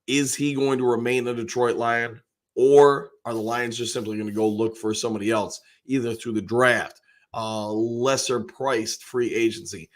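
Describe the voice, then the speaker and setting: An older man with a hoarse voice, hosting a casual live stream and rambling along with plenty of fillers. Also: mid-range voice, flat delivery, moderate pace. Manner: rambling, folksy, lots of fillers. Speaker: host.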